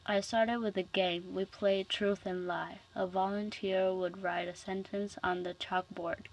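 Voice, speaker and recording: A woman's voice: mid-range voice, level tone, measured pace, a little hiss or hum, poor audio. A young woman, a student, reading a speech aloud for class, carefully and with frequent restarts on words.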